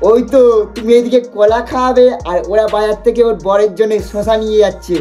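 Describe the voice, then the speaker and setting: A person hosting a comic roast in a mocking, exaggerated, excitable way. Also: mid-range voice, fairly quick. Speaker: prank video host and roaster. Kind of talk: teasing comic roast commentary. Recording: music behind the voice. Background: music.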